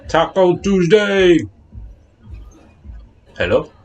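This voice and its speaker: A man's voice: sits high, lively intonation, drawn-out words. Casual male game streamer, faint music behind. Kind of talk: casual livestream chat while playing.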